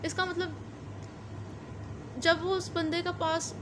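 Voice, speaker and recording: High-pitched Pakistani woman lecturing. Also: level tone, noisy background. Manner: reads formal text slowly, then explains informally with repetition. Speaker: law lecturer. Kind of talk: explanatory law lecture.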